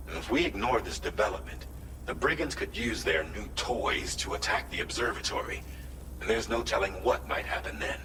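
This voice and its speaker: A man playing a formal mission briefer, low voice. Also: close to an everyday voice. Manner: formal, measured, earnest. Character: formal male mission coordinator giving briefings. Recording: roomy, distant, noisy game audio.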